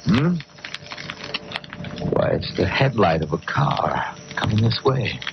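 An actor playing a level-headed, practical friend in vintage radio drama, firm and reassuring. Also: male voice, low-pitched, sing-song, slow, full character voice. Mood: alert, tense. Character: level-headed, take-charge friend of a frightened man. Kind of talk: 1940s radio drama acting. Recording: muffled, noisy old radio recording.

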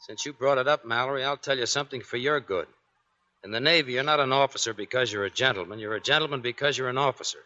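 An actor playing a proud, defiant young sailor in 1940s radio drama style. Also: male voice, medium pitch, fast, bright, forceful tone, natural voice. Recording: dull old 1940s radio recording.

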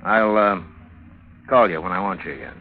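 An actor playing a shrewd police investigator in an old radio drama, probing with false patience in an American accent. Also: male voice, on the low side, moderate pace, loud music behind the voice, lightly acted. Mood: pressing, suspicious. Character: shrewd, needling male police investigator questioning a suspect. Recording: dull vintage radio recording.

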